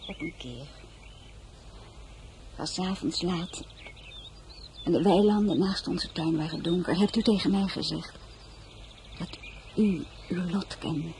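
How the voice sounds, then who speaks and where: An actress playing an earnest, emotional young crown princess in a radio play, speaking softly and wistfully, then urgently. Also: female voice, on the low side, unhurried, long pauses. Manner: soft and wistful, turning urgent and pleading. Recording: noisy old radio play recording.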